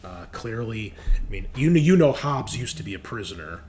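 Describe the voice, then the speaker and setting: A man's voice: fairly low pitch, sing-song, moderate pace, a little mellow. A man narrating a tabletop game as game master, improvising in a casual, conversational way. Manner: conversational, colloquial, with some profanity. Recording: clear background noise.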